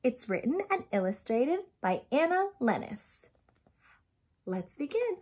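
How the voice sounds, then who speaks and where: High-pitched, animated woman reading to children. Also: slightly rough. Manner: expressive, asks questions and addresses young listeners directly; dramatic gasps and emphatic, drawn-out key words. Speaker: storyteller reading to young children. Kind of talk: animated children's picture book read-aloud. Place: small furnished room at home, indoors.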